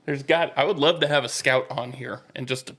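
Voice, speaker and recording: Mid-pitched man talking baseball calmly. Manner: steady, explains and asks questions, slows for emphasis. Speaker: podcast co-host. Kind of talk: casual sports chat.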